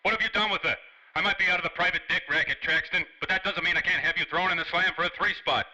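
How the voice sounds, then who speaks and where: An actor playing a hot-tempered, boozing ex-private detective, with brash, clipped slang in 1930s radio noir style. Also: male voice, high voice, steady tone, quick pace, sharp tone, poor audio. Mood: angry, threatening.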